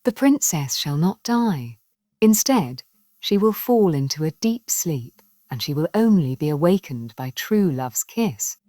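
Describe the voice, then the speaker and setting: Soft, soothing good fairy voiced by a woman. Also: low-pitched, big pitch swings, measured pace, no echo, studio quality, full character voice. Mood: reassuring. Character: gentle, reassuring good fairy, female.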